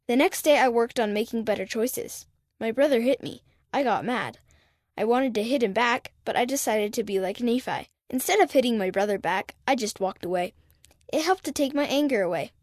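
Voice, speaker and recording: A woman reads a child's short story in an earnest, warm way. Her voice is fairly high and sounds dry and close. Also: no room sound. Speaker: reader of a children's magazine. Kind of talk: scripted narration.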